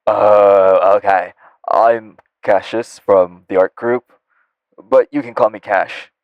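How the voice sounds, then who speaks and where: A man's voice: on the low side, steady tone, slow, dry recording, muffled recording. A man acting as a polite, hesitant, apologetic assistant making a business call in an audio roleplay. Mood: awkward, courteous.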